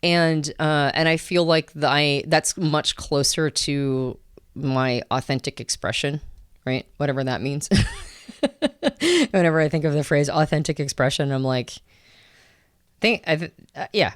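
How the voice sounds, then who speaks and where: A woman's voice: deep voice, steady tone, measured pace, clean tone, no room sound, studio quality. A woman co-hosting a podcast, talking in a reflective, searching way with many fillers and restarts. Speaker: podcast co-host. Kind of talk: casual conversation.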